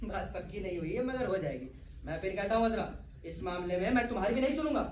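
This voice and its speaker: An actor playing a dreamy, stubborn young elder brother in love in a stage play, with dramatic, flowery delivery. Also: male voice, sits high, expressive, quick pace. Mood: earnest, firm. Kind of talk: Hindi-Urdu stage play acting. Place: stage of a theatre hall. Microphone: far from the actors. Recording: echoey hall, distant mic, faint noise.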